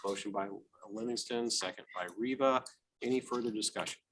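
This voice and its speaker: A man with a Midwestern American accent chairing a city council meeting, moving briskly through agenda items. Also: mid-range voice, a bit bright, slight background noise. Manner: brisk, procedural.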